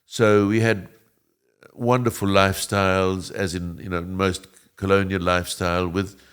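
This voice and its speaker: A man's voice: deep voice, flat delivery, unhurried, fairly bright tone, clean tone. A man in his seventies, born in Egypt and raised in South Africa, Italian as first language, speaking as an interview guest who chuckles often and rambles with restarts. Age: 70s. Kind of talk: interview conversation.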